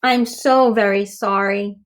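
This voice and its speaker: A woman's voice: medium pitch, fairly even intonation, takes it slowly, lightly acted. A woman voicing an anxious young girl from a storybook, with a whining, pleading manner. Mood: tearful confession. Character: anxious, whiny young girl fretting over lost book.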